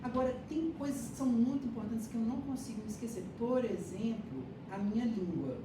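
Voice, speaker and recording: A woman's voice: fairly high pitch, fairly quick. A woman giving a classroom lecture, explaining step by step and checking that her students follow. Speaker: lecturer teaching hypnosis and neuroscience. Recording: echoey room, distant mic, audible noise.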